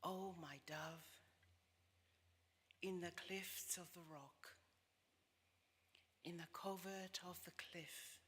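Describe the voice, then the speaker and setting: A woman reads poetic scripture in a low, breathy voice. Her delivery is hushed and extremely slow, with long pauses between short phrases. The sound rings in a large reverberant hall. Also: fairly even intonation. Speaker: scripture reader. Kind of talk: slow devotional poetry reading. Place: large hall during a church gathering.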